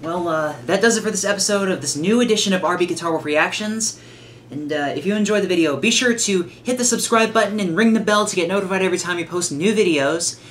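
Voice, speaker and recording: A man's voice: high-pitched, quick pace, a bit bright, slight background noise. A 21-year-old man giving casual, blunt reaction commentary with some swearing. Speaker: reaction channel host. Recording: music behind the voice. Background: music.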